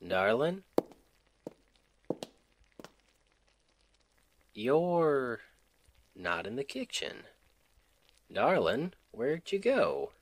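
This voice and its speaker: Guy acting a devoted southern husband, asmr roleplay, tender slow drawl, voice swings up and down a lot. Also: medium pitch, big pitch swings, breathy edge, full character voice. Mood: playful, puzzled. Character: sweet, devoted Southern husband home from work. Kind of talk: ASMR roleplay, intimate and domestic.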